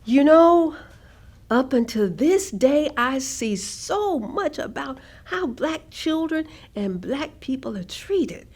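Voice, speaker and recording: A woman's voice: high voice, big pitch swings, slow, a little mellow, full character voice. An actress playing a troubled, weary older grandmother, speaking with heavy emphasis in a staged reading. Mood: pained, indignant. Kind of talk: staged reading of oral history testimony.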